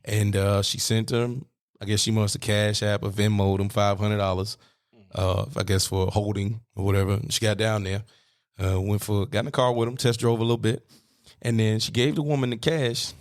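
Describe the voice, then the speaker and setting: Man in his thirties, podcast host, lowish voice, relaxed banter, swears casually. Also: normal speed. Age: 30s. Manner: laid-back, swears casually, laughs often.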